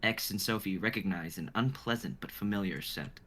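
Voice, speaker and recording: A man's voice: fairly low pitch, moderate pace, fairly bright tone, a little hiss or hum. A man in his twenties, talking casually and narrating stage directions for an informal script reading. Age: 20s.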